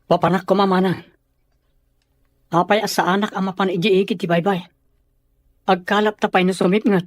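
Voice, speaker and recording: A man's voice: high voice, little pitch movement, normal speed, no echo, lightly acted. An actor playing an earnest, defiant young village fisherman, plain-spoken, in Filipino radio drama style.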